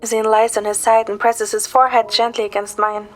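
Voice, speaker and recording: A woman playing a playful, caring young woman who narrates a romantic story in the first person, in a gentle, soft manner. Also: fairly high pitch, little pitch movement, brisk, faint music behind, close to an everyday voice. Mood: gentle, soothing. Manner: gentle, soft, sometimes whispering.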